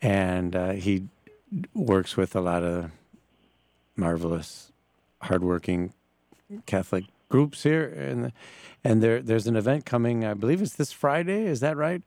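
A man hosting a live talk radio interview in a halting, stammering, casual way. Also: on the low side, a little mellow, no room sound. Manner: halting, hesitant, stammering delivery. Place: radio broadcast from a church.

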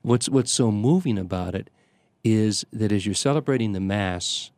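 An older man, a priest from the Chicago area, telling stories in casual conversation, halting often with fillers and restarts. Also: fairly low pitch, a little mellow, no room sound. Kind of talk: conversational storytelling.

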